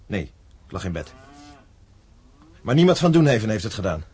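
Low, husky young cattle herder. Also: male voice, sing-song, normal speed, darkish tone, dry recording. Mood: defensive. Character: young male cattle herder, defensive, plain-spoken, cheeky. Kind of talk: Dutch radio drama acting.

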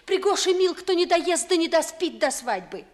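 An actress playing a pert, plain-spoken young maidservant in a classical radio play, with a folksy, saucy and theatrical manner. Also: female voice, very high-pitched, very animated, normal speed. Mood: pert, teasing. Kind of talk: 1950s Russian classical radio theatre acting.

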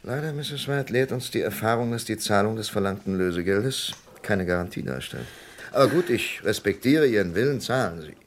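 An actor playing a shrewd, firm FBI investigator in an old radio play, measured and authoritative. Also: male voice, lowish voice, animated. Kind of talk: 1960s German radio play acting.

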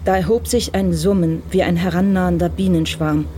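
A woman narrating a radio crime drama in a calm, measured way. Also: lowish voice, a little hiss or hum.

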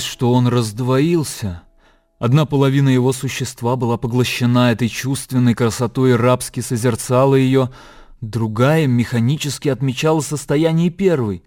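A man narrating a literary radio drama in a measured storytelling manner. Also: medium pitch, darkish tone.

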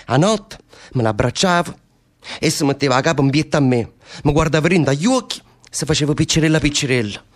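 A man's voice: a little high, expressive, brisk, natural voice. A man playing a lovesick, restless prisoner in a radio play, telling rambling, impassioned stories in Neapolitan dialect. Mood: anguished, agitated. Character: lovesick, restless male prisoner, volatile storyteller. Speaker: stage actor. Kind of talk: radio theatre dialogue in dialect.

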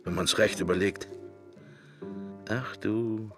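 An actor playing a wry, brooding middle-aged man murmuring a wandering inner monologue in a radio drama, gravelly and husky. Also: lowish voice, fairly even intonation, slow, over music. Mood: wry musing. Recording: roomless, very dry studio sound.

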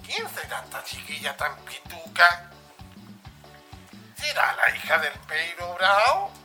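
A woman's voice: on the low side, huge pitch swings, slow pace, sharp tone, faint music behind, full character voice. A woman playing a humble, deferential farm worker in a radio drama. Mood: curious. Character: humble, deferential farm hand at the estate gate.

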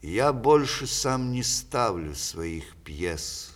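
A man playing a witty, sardonic elderly playwright, speaking with measured theatrical irony in a radio play. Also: medium pitch, big pitch swings. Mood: weary, resigned. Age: older. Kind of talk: 1960s radio theatre acting.

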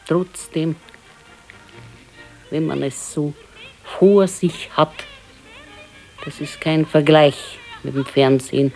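An actress playing a resigned, wistful elderly wife with a Bavarian accent in a naturalistic radio play, speaking plainly and haltingly. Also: female voice, low voice, creaky, warm tone, faint music behind, heavily acted, low-quality recording. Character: wistful, resigned old pensioner's wife, thrifty.

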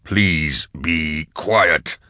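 Relentless male interrogator, put on by a male narrator: extremely low, slow and husky, terse audiobook menace under strain. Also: steady tone, fairly bright tone, no room sound, studio quality, exaggerated voice. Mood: urgent, demanding. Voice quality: husky, grunting. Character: grim, commanding male interrogator, straining with concentration. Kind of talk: dramatised audiobook dialogue.

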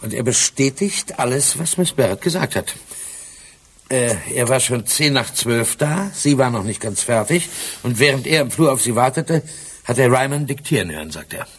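An actor playing a diligent, deferential police subordinate in a German radio drama, polite and a little hesitant. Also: male voice, mid-range voice, animated, normal speed, slightly rough. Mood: polite, reporting.

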